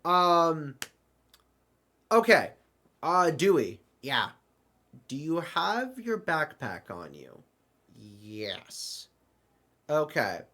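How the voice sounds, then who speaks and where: Man playing slow, flustered, bewildered traveler. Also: mid-range voice, fairly bright tone. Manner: halting, stammering, flustered. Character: bewildered, apologetic accidental travelers stranded in the void. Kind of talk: amateur audio drama dialogue.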